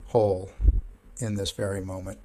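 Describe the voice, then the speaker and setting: A man leading a guided meditation as a counselor, speaking in a calm, measured, gentle instructional way with pauses. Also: low-pitched, dry recording, low-quality recording.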